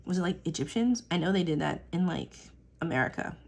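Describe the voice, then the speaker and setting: A woman's voice: on the low side, lively intonation, fairly quick, gravelly, darkish tone. A young woman talking casually and rambling on tangents while she inks a drawing, swearing now and then and filling gaps with "like" and "um.". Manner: chatty, rambling, swears casually, many fillers. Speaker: artist vlogger.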